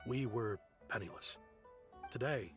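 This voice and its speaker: A man's voice: mid-range voice, measured pace, natural voice. Self-assured merchant man voiced by an actor, music behind. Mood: proud, amused. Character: proud, sentimental self-made merchant man, genial. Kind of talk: video game voice acting.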